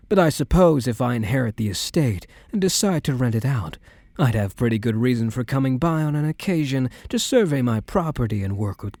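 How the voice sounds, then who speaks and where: A man playing a protective young mafia boss boyfriend in an ASMR roleplay, speaking gently and intimately in a measured, guarded way. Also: medium pitch, no echo. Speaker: roleplay voice performer.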